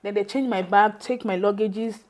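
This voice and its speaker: A woman's voice: mid-range voice, fairly even intonation, normal speed, a little mellow. A Nigerian woman whose first language is Yoruba, telling her own story in a loose, conversational way with many fillers and restarts.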